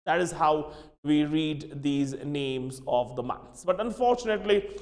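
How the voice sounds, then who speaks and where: Man drilling pronunciation. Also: on the high side. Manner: switches between English and Hindi, repeats words slowly for drilling. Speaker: English teacher. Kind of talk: classroom pronunciation lesson. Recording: long reverb in a room.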